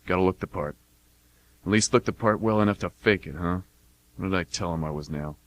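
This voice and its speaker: A man's voice: sits low, a little fast, no room sound, natural voice. A man acting a weary, self-deprecating mercenary in his late twenties in a radio play, talking in a folksy, hesitant mutter. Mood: bitter, self-mocking.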